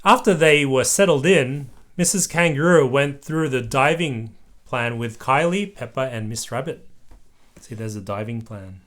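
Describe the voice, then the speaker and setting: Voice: mid-range voice, animated, a little slow, a bit bright. A parent reading a children's story aloud expressively, with patient explanatory asides to a small child.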